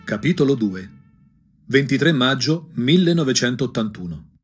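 A man delivers a dramatic, ironic radio monologue. His medium-pitched voice is very husky and breathy, and it often falls away into a whisper. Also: lively intonation, moderate pace, soft background music. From provincial Tuscany, Italy. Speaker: narrator and actor.

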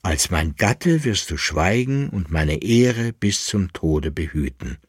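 A man's voice: low voice, animated, takes it slowly, husky, no room sound, clean studio sound, heavily acted. A man voicing a resolute young noblewoman in an audiobook, speaking firmly and formally, with a commanding, secretive manner. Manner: firm, hushing, formal old-fashioned speech.

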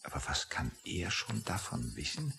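Deep, creaky-voiced, condescending official. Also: male voice, sing-song, normal speed, pushed and bright, faint music behind, exaggerated voice. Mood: musing, muttering. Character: skeptical, status-minded male official waiting outside a meeting. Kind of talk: radio play acting.

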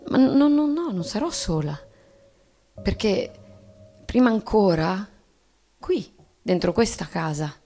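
An actress playing a solemn, tragic wife in a poetic, declamatory radio theatre monologue. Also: female voice, medium pitch, lively intonation, slow, pauses often, dark tone. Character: bourgeois wife, solemn and tragic, resolved on death.

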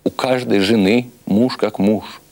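Man acting a nagging storybook wife, embittered, reproachful, emphatic, low-pitched. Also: steady tone, a little slow, darkish tone. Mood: bitter cursing. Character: scolding, embittered wife of a poor joker.

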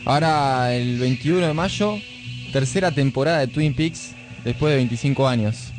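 A man with an Argentine accent co-hosting a casual radio chat in an informal, halting way. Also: mid-range voice. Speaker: radio show co-host. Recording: music playing behind the voice. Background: music.

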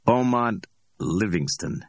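A man's voice: fairly low pitch, animated, slow, no echo, studio quality, voice pushed up. A man voicing a matter-of-fact middle-aged bail bondsman.

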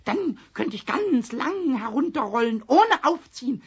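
Cheeky little kobold, very high squawky voice, childish, eager, stammering, wildly swooping pitch, vintage radio play. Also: male voice, sing-song, measured pace, husky, heavily acted. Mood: restless, scheming. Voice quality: squawky, squealing, reedy. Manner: eager, repetitive, stammering, whining. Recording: dull, noisy vintage radio recording.

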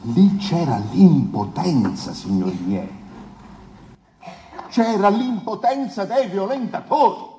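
An actor playing a pompous male defence lawyer in a 1970s trial, pleading with grand, insinuating rhetoric and dramatic pauses in a theatrical stage drama. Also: sits high, expressive, dark tone. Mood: declamatory, emphatic. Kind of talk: theatrical courtroom oration. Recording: echoey reverberant hall, noisy background.

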